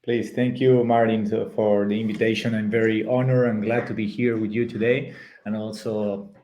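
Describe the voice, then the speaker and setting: A man's voice: lowish voice, level tone, moderate pace, darkish tone, dull audio. A middle-aged man with an Argentine accent, a psychologist and founder speaking as a webinar guest, hesitant, with many fillers and restarts. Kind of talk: webinar talk. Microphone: video call. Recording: echoey room sound.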